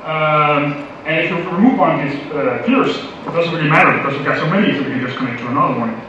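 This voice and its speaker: A man's voice: fairly high pitch, fast. A young man from Denmark giving a casual, playful tech conference talk with many fillers. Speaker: conference speaker. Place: large conference hall. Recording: echoey hall from far, dull lo-fi audio, noisy.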